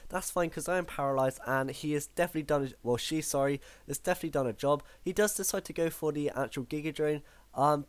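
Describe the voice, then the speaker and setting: A man with a croaky voice commentating his own online battle, thinking aloud with frequent ums and self-corrections. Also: a little high, no room sound. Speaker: gaming commentator. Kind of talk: live battle commentary over gameplay.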